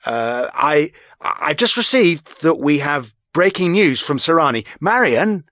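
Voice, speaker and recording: Formal, lively-pitched news anchorman. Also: unhurried, dry recording. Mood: urgent. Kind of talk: scripted news bulletin.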